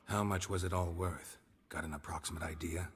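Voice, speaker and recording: An actor playing a stoic, dryly wry monster hunter in a fantasy video game, speaking in a terse, deadpan way. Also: male voice, sits low, brisk, slight background noise, lightly acted. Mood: inquiring, cool.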